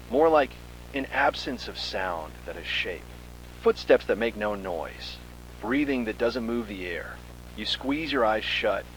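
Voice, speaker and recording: A man with a fairly low voice narrates a horror tale in the second person. His delivery is theatrical and full of suspense, swinging widely in intonation. A faint noisy hum sits behind the voice. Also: fairly bright tone. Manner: dramatic, suspenseful storytelling.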